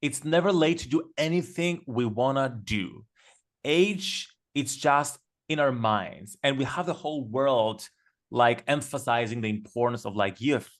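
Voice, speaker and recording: Enthusiastic man. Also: mid-range voice. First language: Greek. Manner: enthusiastic, storytelling, quick with fillers. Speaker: guest. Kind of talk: casual podcast conversation.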